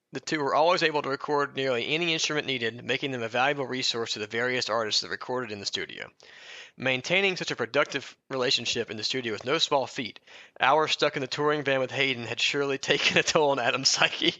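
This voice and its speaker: A man's voice: mid-range voice, quick pace, bright, forceful tone, dry recording, highs cut off. A man co-hosting a comedy podcast, mixing mock-biography reading with joking, irreverent asides and prone to breaking into laughter. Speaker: podcast co-host.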